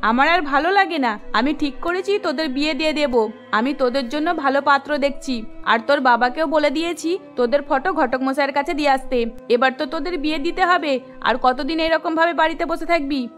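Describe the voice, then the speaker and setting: A woman's voice: sits high, brisk, fairly bright tone, faint music behind, voice pushed down. A woman voicing an exasperated middle-aged mother of twin daughters in a cartoon, with a weary, nagging, motherly manner. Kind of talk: cartoon story character acting.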